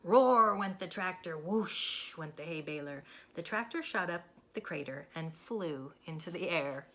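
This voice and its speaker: Female children's storyteller: bright voice, unhurried, dramatic, expressive read-aloud. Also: on the low side. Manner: dramatic, deliberate phrasing.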